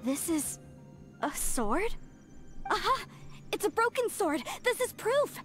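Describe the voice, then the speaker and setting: A woman's voice: very high-pitched, big pitch swings, takes it slowly, fairly bright tone, faint music behind, full character voice. An actress playing a zealous young woman archaeologist in a video game, earnest, emphatic and dramatic. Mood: excited. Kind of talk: video game character dialogue.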